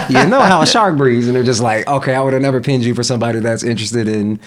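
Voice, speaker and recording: A young man chatting casually as a podcast guest, relaxed, with frequent laughs and fillers. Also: mid-range voice, quick pace. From United States.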